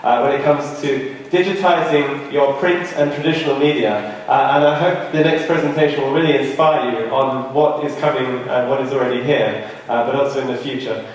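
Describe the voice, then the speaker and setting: A man's voice: medium pitch, fairly even intonation, brisk. A man hosting a conference, speaking politely and enthusiastically as he moderates from the stage. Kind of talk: introducing speakers and asking questions. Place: large conference hall. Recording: echoey hall, distant, crowd behind.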